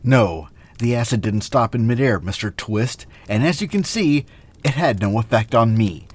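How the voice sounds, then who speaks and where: An actor playing a noble, confident atomic superhero in an earnest, declamatory old-time radio drama style. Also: male voice, medium pitch, animated, normal speed, darkish tone, natural voice. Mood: confident, stern.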